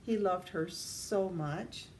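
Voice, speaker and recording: Slow-reading older woman, distant mic. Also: fairly low pitch, a little mellow. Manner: deliberate, addressing young listeners with questions. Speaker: storytime reader. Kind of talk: reading a children's picture book aloud. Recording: distant mic, faint background noise.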